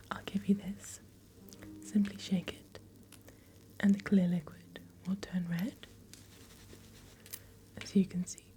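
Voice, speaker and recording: Woman doing polite otherworldly shop lady for fantasy asmr, soft whispery voice, really slow and gentle. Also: fairly low pitch, lightly acted. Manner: unhurried, polite, gently attentive. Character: courteous otherworldly female shopkeeper in a fantasy town. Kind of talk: soft-spoken ASMR roleplay.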